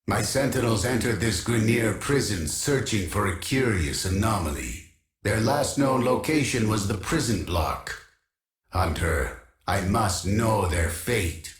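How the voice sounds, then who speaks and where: An actor playing an obsessive, imperious keeper of a digital archive in a video game, speaking in a formal, pompous, measured way. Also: male voice, lowish voice, lively intonation, echoey room. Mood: grave concern. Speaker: video game quest-giving character. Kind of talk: scripted video game character dialogue.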